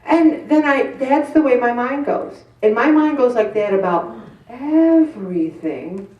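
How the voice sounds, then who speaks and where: A woman's voice: on the high side, lively intonation, unhurried, lo-fi sound. Candid woman talking in an echoey room. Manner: candid and self-deprecating, acts out her inner voices. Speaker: group speaker. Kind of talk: faith-based talk to a group. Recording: roomy echo from some distance.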